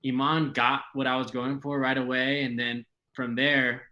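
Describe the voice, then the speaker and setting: Easygoing young man, halting speech. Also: mid-range voice, little pitch movement, bright, forceful tone, muffled recording. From Texas, Mexican American. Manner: easygoing, hesitant, many uh and um. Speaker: guest, writer and actor. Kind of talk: casual interview.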